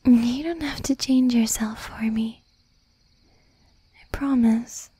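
A woman playing a tender, possessive young demon girlfriend in an affectionate, whispered ASMR roleplay, soft and breathy. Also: fairly high pitch, steady tone, slow, dry recording, full character voice. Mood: relieved, adoring.

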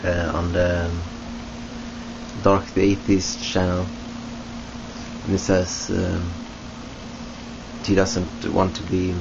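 A young man from Sweden with a Swedish accent, introducing himself hesitantly to his webcam in a small room at home, with many pauses and restarts. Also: sits low, monotone, vocal fry. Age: young adult. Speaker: vlogger. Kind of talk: casual self-introduction to webcam. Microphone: webcam at close range. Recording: dull lo-fi webcam audio with hiss.

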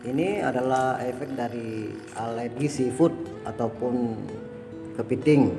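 A man narrating an outdoor vlog in a chatty way, addressing viewers as friends. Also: medium pitch. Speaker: bushcraft vlogger.

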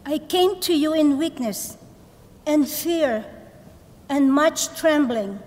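Middle-aged woman lector, fairly high voice, slow careful reading, church reverb. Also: lively intonation, darkish tone. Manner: careful, deliberate phrasing. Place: large church. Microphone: lectern microphone.

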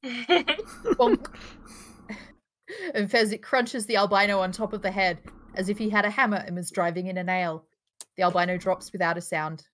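A woman narrates a screenplay at a table read. Her pitch is middling, and she reads stage directions aloud with occasional casual asides. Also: normal speed, long pauses, fairly bright tone. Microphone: home microphone on a group video call.

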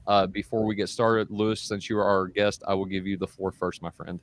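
Guy hosting a debate over a video call, kinda low voice, upbeat and folksy, dry room. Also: little pitch movement, brisk, dry recording. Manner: upbeat, folksy, says y'all. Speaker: debate host and moderator. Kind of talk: casual show hosting. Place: home room with bookshelves. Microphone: headset and desk mic on video call.

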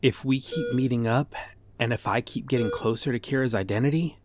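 A man acts out a devoted detective weighed down by guilt, in a dramatic ASMR audio roleplay. The mid-pitched male voice is earnest and brooding. The delivery is halting, with thoughts set out piece by piece. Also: close to an everyday voice. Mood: sorrowful, pleading. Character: guilt-ridden, devoted male detective hunting a serial killer.

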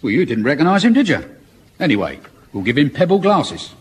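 An eager, chatty corporal explains things in a high voice. His pitch jumps about lively. The old radio recording has a faint hiss. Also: fairly quick.

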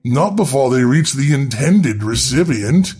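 A man's voice: medium pitch, lively intonation, a little slow, a little mellow, soft background music. A man reading scripted, darkly humorous narration in a wry, theatrical instructional manner.

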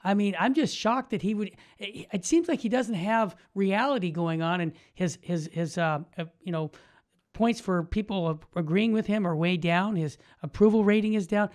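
A man's voice: high-pitched, moderate pace. An older man talking emphatically and conversationally as an opinionated radio host, close on a studio mic. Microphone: studio mic on boom arm, headphones.

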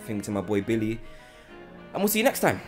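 A man's voice: lowish voice, big pitch swings, fast talker, over loud music. A young man hosting a podcast in casual chat, often repeating short phrases. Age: young adult. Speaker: podcast host. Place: large bright living room, indoors. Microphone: podcast mic on boom arm.

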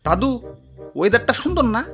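A woman voicing a brash, scheming young villager in a comic cartoon, pushy and excitable, with a Bangladeshi accent. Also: fairly high pitch, fairly quick. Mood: scheming, cajoling. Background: loud background music.

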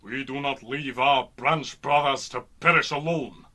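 A man's voice: mid-range voice, fairly even intonation, a little slow, bright, forceful tone. A man playing a proud, literal-minded birdman warrior in a radio serial, speaking in a formal, archaic, declamatory way. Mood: grave, defiant. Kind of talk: old-time radio serial acting.

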